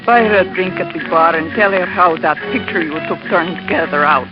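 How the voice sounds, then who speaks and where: An actress plays a tender, wistful young Cuban woman in an old radio drama. She speaks measured, lilting English, muffled by the old recording, with music clearly behind her. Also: fairly low pitch, close to an everyday voice. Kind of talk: 1940s radio acting.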